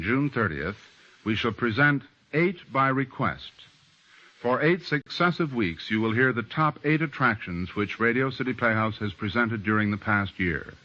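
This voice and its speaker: A man with an American accent hosting formally in 1940s radio announcing style. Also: mid-range voice. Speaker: radio show director and host. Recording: dull old radio recording.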